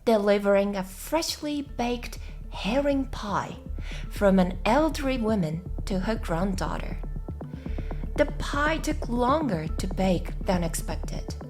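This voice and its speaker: A woman who is a native Japanese speaker, softly and carefully reading a story aloud in a slow, measured way for English learners. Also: mid-range voice, expressive. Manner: soft, measured, careful phrasing. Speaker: English teacher and channel host. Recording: music behind the voice. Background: background music.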